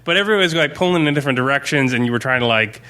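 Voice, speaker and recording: A man with a bright, forward voice and middling pitch talks through his game-mastering in a casual discussion. He explains at rambling length and swears freely. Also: expressive, very fast.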